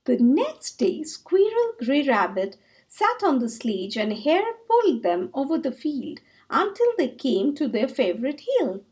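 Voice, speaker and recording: A woman reading a children's story aloud in expressive storybook narration. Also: high voice, measured pace.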